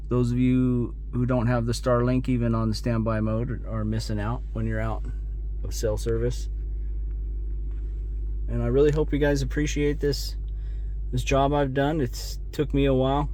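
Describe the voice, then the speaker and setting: A man's voice: mid-range voice, dark tone, a little hiss or hum. Casual American man showing his handiwork. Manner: plainspoken, informal. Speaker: vlogger showing his vehicle radio install. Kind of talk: casual hands-on walkthrough.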